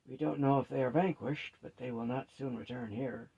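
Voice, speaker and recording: A man's voice: mid-range voice, fairly even intonation, moderate pace, gravelly, warm tone, husky, muffled recording. A man voicing a weary, skeptical old dwarven priest in a storybook, sober and world-weary. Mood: cautious.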